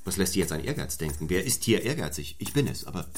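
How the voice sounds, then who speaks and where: An actor playing a stern, ambitious young clergyman in a German radio play, speaking in a measured, formal and probing manner. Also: sits low, very animated, slight background noise. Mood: earnest, intense.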